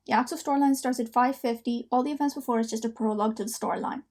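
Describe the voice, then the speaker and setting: Higher-voiced woman chatting through a reaction. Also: rapid-fire, a little mellow. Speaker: host of reaction video, amateur voice actor. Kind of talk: casual chatty reaction commentary.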